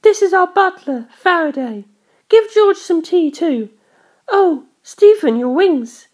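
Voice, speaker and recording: A woman's voice: very high-pitched, expressive, takes it slowly, a little mellow, voice pushed up, heavily acted. A woman voicing a vain, sulky young aristocratic schoolgirl in an audiobook, bossy and indignant. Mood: prim. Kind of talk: audiobook character voice.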